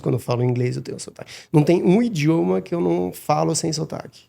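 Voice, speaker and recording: A middle-aged man, a guest on a podcast, talking casually and haltingly with many restarts and repeated words. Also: mid-range voice, vocal fry, dark tone. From Madagascar. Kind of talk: casual interview talk.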